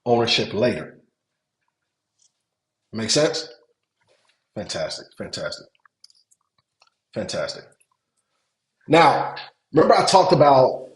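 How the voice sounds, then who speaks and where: Man from Nigeria, hotel investing coach, webinar teaching, repeats for emphasis, echoey room. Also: medium pitch. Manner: repeats words for emphasis, asks if it makes sense.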